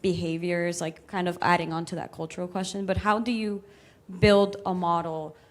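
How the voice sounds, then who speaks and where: A woman's voice: on the low side, fairly even intonation, moderate pace. Young woman asking with casual likes. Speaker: audience member.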